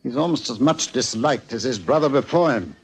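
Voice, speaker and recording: An actor playing a mild, hesitant professor, halting and matter-of-fact, in 1940s radio style. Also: male voice, medium pitch, lively intonation, breathy edge. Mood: confiding. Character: mild, hesitant scholarly professor, local guide. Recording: old noisy 1940s radio recording, clicks.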